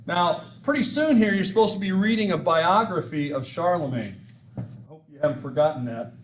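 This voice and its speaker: A man's voice: on the high side, animated, a little fast. Joking male lecturer in an echoey classroom. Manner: joking, rambling and self-mocking. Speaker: history lecturer. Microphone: laptop mic at a lectern. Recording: echoey classroom with background hiss.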